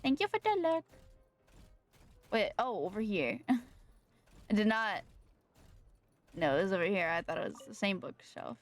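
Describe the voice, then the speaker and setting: Expressive, slow-paced woman game streamer. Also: medium pitch. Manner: playful muttering to herself, expressive. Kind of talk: casual live gameplay reaction.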